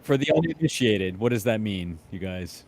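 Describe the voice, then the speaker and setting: A man hosting a casual chat, agreeable and quick to chime in with questions and comments. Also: lowish voice, animated, a little mellow, dry recording. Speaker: stream host.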